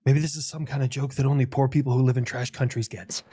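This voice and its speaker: A man's voice: mid-range voice, steady tone, fast talker, background noise, natural voice. A man voicing India as a nation in a put-on Indian accent, making earnest, stumbling speeches.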